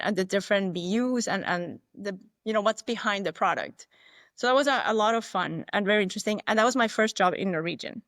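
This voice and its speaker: A woman's voice: mid-range voice, fairly bright tone, no room sound, clean studio sound. A woman whose first language is not English, a guest telling her story in a candid, thoughtful way, often restarting and quoting herself. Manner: candid, thoughtful, frequent restarts and self-quotes. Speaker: interview guest. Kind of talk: reflective conversational storytelling.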